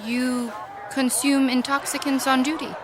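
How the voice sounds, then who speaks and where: A woman's voice: sits high, flat delivery, a little slow, noisy background. A woman playing an otherworldly visitor who speaks in a formal, over-precise, stilted way in an audio drama. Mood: stiff, formal. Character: stiff, literal, otherworldly female visitor studying human customs.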